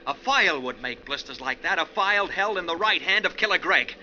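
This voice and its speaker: A defiant, urgent passenger in an old radio thriller. His voice is fairly high and very sharp, accusing and insistent, on a muffled recording with noise behind it. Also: animated, fairly quick, exaggerated voice. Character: defiant, urgent male passenger exposing a killer. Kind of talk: 1940s radio acting.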